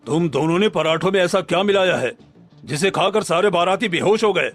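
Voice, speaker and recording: A man's voice: sits high, lively intonation, fairly quick, soft background music. A man playing a middle-aged village headman, an authoritative father of the bride, in a Hindi cartoon story. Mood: angry.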